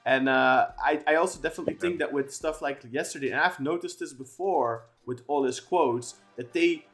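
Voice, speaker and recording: A man's voice: on the high side, normal speed, fairly bright tone, soft background music. A man co-hosting a football podcast, talking at length in casual chat with many restarts and fillers. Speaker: podcast co-host.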